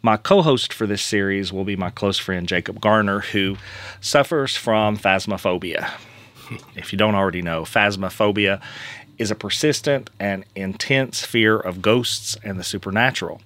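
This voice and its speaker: A man hosting a casual podcast, friendly and joking, his voice a bit hoarse from being under the weather. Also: lowish voice, moderate pace, fairly bright tone. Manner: friendly, joking, rambling with fillers. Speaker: podcast host.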